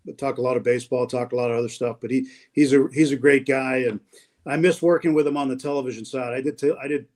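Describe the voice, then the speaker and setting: Middle-aged man, mid-pitched voice, easygoing and rambling, interview guest. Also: fast talker. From New Hampshire, US. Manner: relaxed, rambling storyteller with many fillers. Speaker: radio baseball play-by-play announcer, guest. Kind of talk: casual interview conversation.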